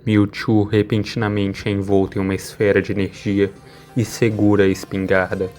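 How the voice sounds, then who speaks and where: Low-voiced Brazilian man narrating dramatically. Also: level tone, normal speed, darkish tone, faint music behind.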